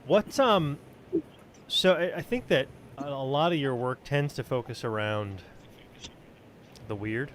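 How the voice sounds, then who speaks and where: A man hosting a casual podcast, asking questions in a halting, joking, informal way. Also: mid-range voice. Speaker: podcast host, writer. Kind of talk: casual chat.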